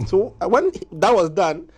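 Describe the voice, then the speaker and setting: A man's voice: high voice, unhurried, husky. Man hosting a morning show. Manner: lively, storytelling with laughs. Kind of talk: casual studio interview. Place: TV studio.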